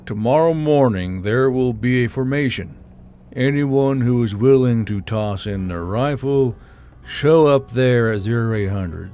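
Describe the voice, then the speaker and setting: A man doing a steady army commander. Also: medium pitch, a little slow, soft background music, lightly acted. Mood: commanding. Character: male military commander addressing troops.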